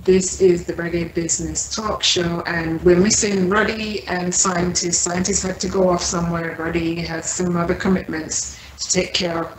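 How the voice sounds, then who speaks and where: A woman co-hosting a radio talk show, signing off in a measured announcer way. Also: fairly low pitch, echoey room, slight background noise, low-quality recording. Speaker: co-host. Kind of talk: sign-off announcement.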